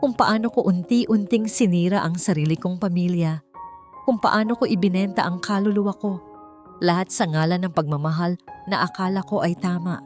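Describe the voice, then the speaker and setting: Low-voiced woman narrating a confessional drama. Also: moderate pace, a little mellow, faint music behind. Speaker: story narrator.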